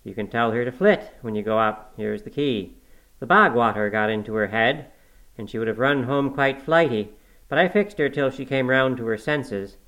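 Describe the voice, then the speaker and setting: A man's voice: medium pitch, lively intonation, normal speed, a bit bright, rough recording. A man reading as a scheming, commanding master of the house in an audiobook, curt and sardonic. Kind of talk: audiobook character acting.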